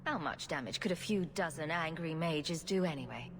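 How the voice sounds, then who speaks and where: Wry, lively low-voiced rogue heroine. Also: female voice, normal speed, big-room sound, a little hiss or hum. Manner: flippant, dry humour. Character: wry, sarcastic female rogue adventurer and champion. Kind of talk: dramatic video game dialogue.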